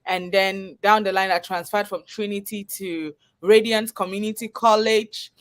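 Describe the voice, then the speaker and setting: A woman's voice: medium pitch, fairly even intonation, normal speed. A woman with a Cameroonian accent telling her personal story as a guest in a live interview, earnest and conversational. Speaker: guest, chef and business owner.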